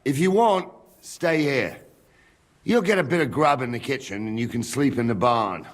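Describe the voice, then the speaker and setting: An actor playing a gruff, fatherly middle-aged captain of the guard, guarded and plain-spoken, with a husky voice, in a medieval game. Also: mid-range voice, lively intonation, moderate pace, dry recording, lightly acted. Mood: practical. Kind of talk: video game dialogue acting.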